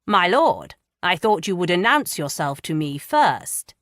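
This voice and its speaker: A woman's voice: low voice, slow, dry recording. A woman voicing a pompous, testy male magistrate who browbeats and bellows.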